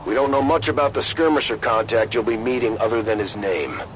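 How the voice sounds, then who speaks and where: A man playing a world-weary, gruff military commander in a video game, terse and matter-of-fact. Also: mid-range voice, brisk, lightly acted, low-quality recording. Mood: weary, firm. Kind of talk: video game cutscene acting. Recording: clear noise and battle ambience.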